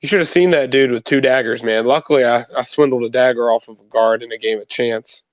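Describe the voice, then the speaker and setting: Male tabletop player, average pitch, casual slangy storyteller, very dry recording. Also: fairly even intonation, fast, dry recording. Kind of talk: casual in-character tabletop roleplay chat.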